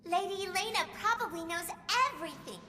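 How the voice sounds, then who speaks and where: An actress voicing an excitable, naive, girlish young catgirl in an anime-style game, gushing in ditzy teen slang. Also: very high voice, fast, a little hiss or hum. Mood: excited, insistent. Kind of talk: English-dubbed anime-style game acting. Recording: echoey hall reverb.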